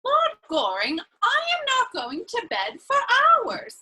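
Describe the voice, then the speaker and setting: Woman playing a very high, bright young lady. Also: huge pitch swings, takes it slowly, exaggerated voice. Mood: spirited, playful. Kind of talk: dramatic reading of a period stage comedy.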